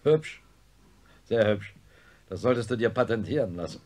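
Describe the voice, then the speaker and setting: An actor playing a sober, skeptical professor of neurosurgery in a radio play, with a dry, measured, blunt manner. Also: male voice, on the low side, expressive, a little mellow, a little hiss or hum, close to an everyday voice. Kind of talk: German radio play acting.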